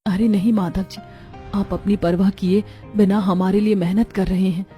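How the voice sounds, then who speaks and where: A woman's voice: mid-range voice, fairly even intonation, normal speed, warm tone, soft background music, natural voice. A woman voicing a worried, caring woman of a poor household in a melodramatic children's cartoon, earnest and plaintive. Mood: soothing.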